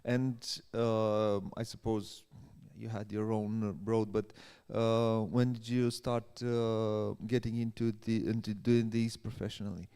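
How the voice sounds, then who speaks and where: A man with a fairly deep voice hosts a live interview. His delivery is hesitant and halting. The sound carries the long reverb of a big hall. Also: flat delivery, dark tone, clean tone. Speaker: workshop host and interviewer. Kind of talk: live event introduction and interview.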